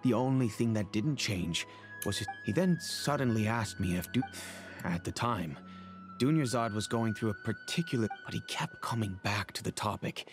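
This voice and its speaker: A man acting a grave middle-aged father in a video game, speaking in a measured, formal and earnest way. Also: on the low side, lively intonation, music in the background, close to an everyday voice. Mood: solemn, regretful. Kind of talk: scripted game dialogue, measured storytelling.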